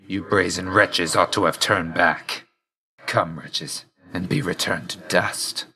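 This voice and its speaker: A man's voice: low-pitched, steady tone, slow, creaky, sharp tone, heavily acted. A man voicing a stern, brooding young god of death in a video game, speaking in a curt, restrained, solemn way with dry understatement. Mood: cold, menacing. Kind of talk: scripted video game voice lines.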